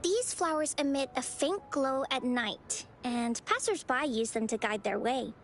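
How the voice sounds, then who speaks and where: A woman voicing a sweet, earnest young girl in video game story dialogue, gentle and thoughtful. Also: high voice, measured pace, slight background noise.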